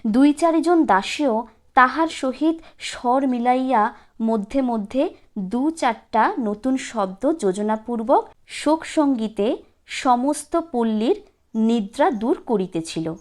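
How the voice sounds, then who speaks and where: A woman reading a literary story as a narrator, with an expressive, measured delivery. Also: fairly high pitch. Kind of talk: scripted audiobook narration, literary.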